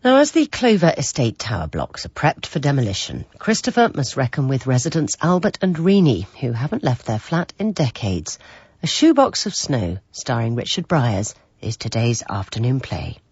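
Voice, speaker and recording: A man's voice: mid-range voice, wildly swooping pitch, normal speed, a little mellow, breathy edge, no echo, muffled recording. A man making scripted continuity announcements on the radio.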